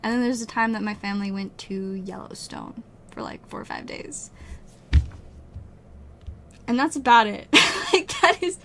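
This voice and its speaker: A woman's voice: fairly high pitch, animated, moderate pace, pauses often, a bit bright, slight background noise. A twenty-year-old woman from Utah chatting casually with livestream viewers, rambling with lots of fillers and laughs, her voice strained and wearing out. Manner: rambling, giggly, many "like" fillers. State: losing her voice, strained throat. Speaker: streamer.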